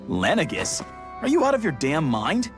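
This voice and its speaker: An actor playing a harried, grumbling, overworked leader in a video game cutscene. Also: male voice, fairly high pitch, huge pitch swings, normal speed, soft background music. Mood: incredulous. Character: harried, grumbling man in charge, overworked and exasperated.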